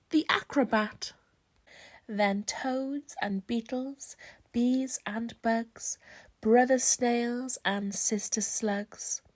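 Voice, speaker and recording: A woman reading a rhyming children's storybook aloud in an expressive, theatrical way with strong emphasis. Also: a little high. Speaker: storybook reader.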